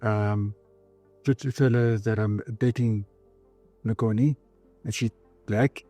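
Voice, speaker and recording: A South African man in his thirties with a South African accent, telling his story in an easygoing, halting way in a documentary interview. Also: on the low side, fairly even intonation, warm tone, soft background music.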